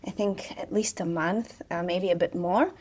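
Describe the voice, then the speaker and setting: A woman's voice: lowish voice, steady tone, moderate pace, darkish tone. A woman, chatting casually on her vlog with sarcastic asides and frequent fillers. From Israel. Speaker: vlogger, personal trainer.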